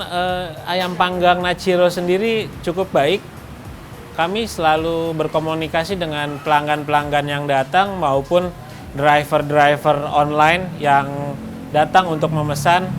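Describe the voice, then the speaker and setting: A man speaking as a restaurant co-owner in an interview, explaining things in a measured, halting way. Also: fairly high pitch. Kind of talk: interview talk, explaining a business.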